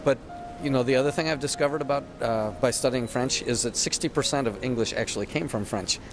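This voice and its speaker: A man with a mid-range voice explains things with enthusiasm and laughs easily. Clear music plays behind the conversation. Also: mid-range voice, fast talker. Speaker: conversation partner. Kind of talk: casual conversation.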